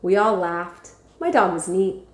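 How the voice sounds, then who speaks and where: A woman's voice: on the low side, measured pace. Woman reading a storybook, echoey room. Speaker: teacher reading aloud. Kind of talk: storybook read-aloud narration.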